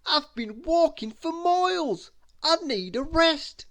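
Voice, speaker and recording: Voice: high-pitched, animated, slow pace, a bit bright, voice pushed up, full character voice. Clumsy, worn-out little man in a storybook, voiced by the reader, whining and lifted. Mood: moaning. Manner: moaning, weary.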